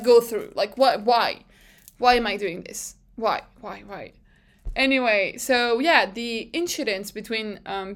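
A young woman hosting a casual recap podcast, talking in an animated, rambling way and laughing often. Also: on the high side. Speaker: podcast host.

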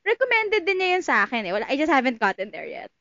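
A woman's voice: high voice, sing-song, very fast, pushed and bright, no echo, highs cut off. A woman with a Filipino accent in casual podcast conversation, playful and informal, moving between English and Tagalog. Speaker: podcast co-host.

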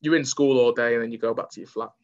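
A man's voice: medium pitch, fairly even intonation, quick pace, highs cut off. A young man from Manchester with a Mancunian accent, talking candidly as an interview guest. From Manchester, England.

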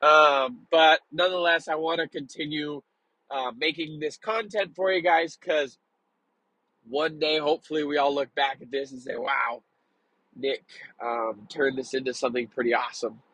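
A man's voice: on the high side, steady tone, moderate pace, pushed and bright, no echo, low-quality recording. A young man hosting a casual solo podcast, slightly congested, talking informally and confessionally in a rambling, hesitant way. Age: young adult. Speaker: podcast host.

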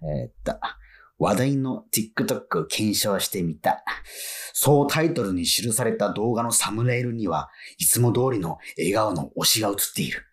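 An actor playing an excitable male fan who lives alone, narrating and muttering to himself in a flustered way in a radio drama. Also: on the low side, moderate pace. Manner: animated, self-muttering, easily flustered. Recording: faint music behind.

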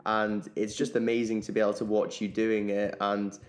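A man's voice: fairly low pitch, level tone, moderate pace. A man hosting a podcast, reading a short intro and then asking questions with encouraging interjections. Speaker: podcast host.

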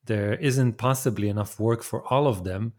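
Man, podcast host, low voice, conversational, interviewing. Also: normal speed, a little mellow. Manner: conversational, laying out topics. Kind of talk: interview questions.

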